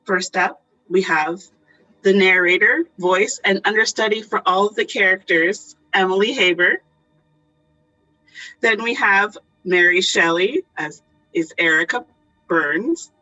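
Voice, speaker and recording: A woman's voice: medium pitch, measured pace, pushed and bright. A woman hosting, reading out a cast list as a curtain-call announcement. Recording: dull audio, faint music.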